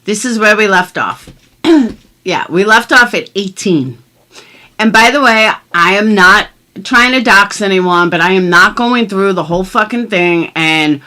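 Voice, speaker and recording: A woman's voice: medium pitch, pushed and bright. A middle-aged woman vlogger who chats casually and reads documents aloud in an emphatic, blunt manner, swearing freely. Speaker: commentary vlogger. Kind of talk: casual chat and mock-dramatic reading of legal documents.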